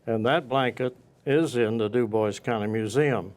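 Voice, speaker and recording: A man speaking as a guest local historian in an interview, recounting the past off the cuff in a halting way with pauses mid-sentence. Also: mid-range voice.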